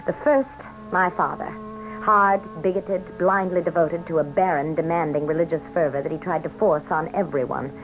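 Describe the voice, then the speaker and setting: A woman's voice: sits low, moderate pace. An American woman playing a scheming, seductive and ambitious governor's wife in a theatrical vintage radio drama. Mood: bitter confession. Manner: theatrical, coy, calculating. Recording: muffled vintage radio broadcast, music behind.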